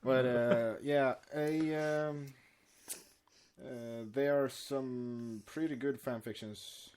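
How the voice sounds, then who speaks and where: A man's voice: medium pitch, fairly even intonation, very slow, background noise. Male podcast co-host reading and chatting. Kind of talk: story reading and casual chat.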